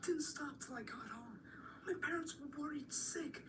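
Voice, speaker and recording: A woman's voice: high voice, normal speed, close to an everyday voice. Woman playing a young boy, very noisy recording. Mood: matter-of-fact. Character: brave, shaken young boy. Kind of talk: radio drama acting. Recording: very noisy, distant radio drama replay.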